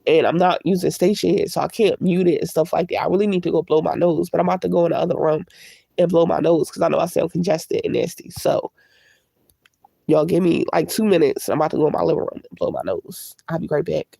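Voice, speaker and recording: A woman's voice: fairly low pitch, fast, gravelly, dark tone, slightly rough, no echo. A woman with a congested, stuffy voice, talking candidly and at length to her livestream viewers through a tablet. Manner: candid, rambling and reflective. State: congested from a cold. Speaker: livestream host. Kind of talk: casual livestream chat.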